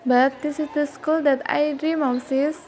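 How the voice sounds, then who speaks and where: A woman with an Indonesian accent playing a timid, trusting bullied high school girl in an amateur radio drama. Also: steady tone, a little slow, a little mellow, a little hiss or hum. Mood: pleading.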